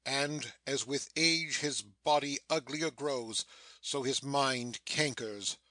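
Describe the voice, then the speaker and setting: A man playing a stern, slow, sharp-voiced sorcerer. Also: mid-range voice, dry recording. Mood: bitter, vengeful. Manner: deliberate, commanding, theatrical. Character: stern, embittered ageing sorcerer and master. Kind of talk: dramatic Shakespearean audiobook reading.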